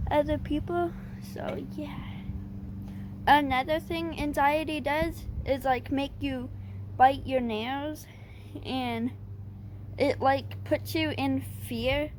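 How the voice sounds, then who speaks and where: High-voiced halting young woman vlogger. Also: a little mellow, a little hiss or hum. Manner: halting, with stutters and restarts; hesitant and plain-spoken. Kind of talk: casual advice vlog.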